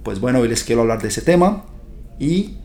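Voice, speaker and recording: A man's voice: mid-range voice, sing-song, fairly quick, a little mellow. A man hosting a podcast, talking to his listeners in a chatty, upbeat, direct way. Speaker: podcast host. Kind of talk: casual podcast monologue.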